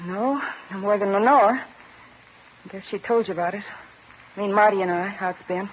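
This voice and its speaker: A woman's voice: medium pitch, moderate pace, close to an everyday voice. A woman with an American accent playing a guarded, lovestruck wife under police questioning, in earnest, melodramatic old radio drama style. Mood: uneasy, confiding. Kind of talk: 1940s-50s radio drama acting. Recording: dull, faintly noisy vintage radio recording.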